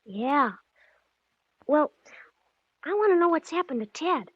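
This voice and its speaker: A woman's voice: high voice, lively intonation, slow pace, no room sound. A woman playing an earnest, secretive small-town American boy with hesitant kid slang in a 1940s radio drama. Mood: earnest, worried. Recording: dull old 1940s radio recording.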